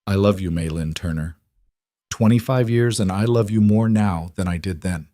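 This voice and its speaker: A man voicing a gentle middle-aged rancher who speaks earnestly. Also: low-pitched, normal speed, no echo, studio quality, natural voice. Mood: tender.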